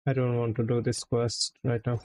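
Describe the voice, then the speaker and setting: A man's voice: mid-range voice, level tone, normal speed, a little mellow. A man giving casual livestream commentary as a game streamer, blunt and unenthusiastic in manner. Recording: clear background noise.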